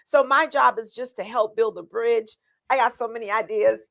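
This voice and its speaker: A woman's voice: fairly high pitch, steady tone, moderate pace, gravelly, fairly bright tone. A woman running for county commissioner, earnestly laying out her plans with many ums and restarts. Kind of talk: answering interview questions.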